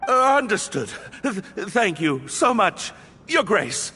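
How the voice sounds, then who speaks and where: A nervous, polite young game character with a high, bright voice. His pitch jumps wildly as he stammers and hesitates apologetically. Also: big pitch swings, takes it slowly, slight background noise, full character voice. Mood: nervous, evasive. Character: nervous, flustered young man, polite and evasive. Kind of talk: video game character voice acting.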